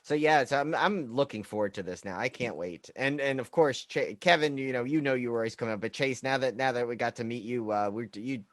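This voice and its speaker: Male podcast host, medium pitch, dry sound, friendly, agreeable, casual. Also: brisk, hardly any pauses, a bit bright, no room sound. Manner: friendly, agreeable, repeats himself. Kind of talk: casual chat.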